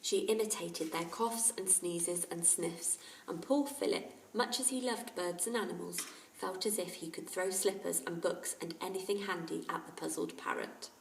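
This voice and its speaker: A woman's voice: fairly low pitch, normal speed, gravelly, hardly any pauses, a little hiss or hum. A woman teacher narrating a children's story aloud. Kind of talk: children's audiobook-style narration. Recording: roomy, distant microphone.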